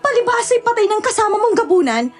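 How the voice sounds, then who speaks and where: A fierce young village woman, as a woman acts her out, shouting defiance and pleading. Her voice is very high. Faint music runs underneath. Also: sing-song, fast talker. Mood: desperate pleading.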